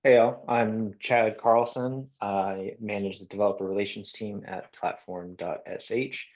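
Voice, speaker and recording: A man introducing himself on a video call in an easygoing, soft-spoken way. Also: lowish voice, steady tone, measured pace. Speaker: developer relations manager. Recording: dull lo-fi call audio.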